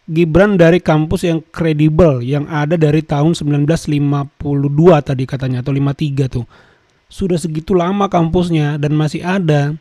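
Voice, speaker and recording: A man with a fairly high but mellow voice comments to his viewers. He repeats his points with emphasis and keeps calling out to his audience, recorded dry and close. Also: dry recording. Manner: emphatic, repeats phrases, addresses viewers often. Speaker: host.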